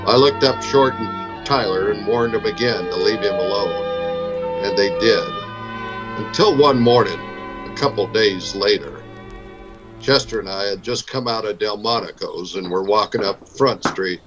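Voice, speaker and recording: An actor playing a stern, laconic frontier marshal in a vintage radio Western, speaking in a terse, firm way. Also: male voice, lowish voice, unhurried, dull audio, over music, close to an everyday voice. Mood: grave narration.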